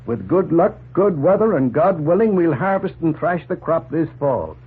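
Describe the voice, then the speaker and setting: Proud middle-aged farmer, folksy, emphatic, animated pitch, 1940s radio drama, narrow phone-like sound. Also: male voice, normal speed, husky. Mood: worked up. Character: opinionated, plain-spoken Canadian farmer, proudly independent. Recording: noisy, tinny 1940s radio recording.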